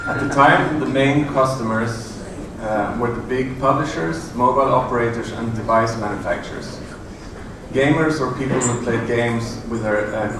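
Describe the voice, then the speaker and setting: A middle-aged man giving a conference talk in a large hotel room, halting with many fillers and restarts. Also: medium pitch. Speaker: conference presenter. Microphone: room audio from a far camera. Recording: echoey, distant room recording with noise.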